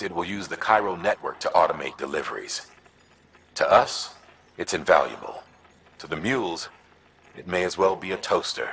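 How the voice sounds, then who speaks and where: A deep, forceful commander. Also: male voice, a little hiss or hum. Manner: brisk, blunt, commanding. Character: gruff, authoritative middle-aged commander handing out orders. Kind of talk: dramatic video game briefing.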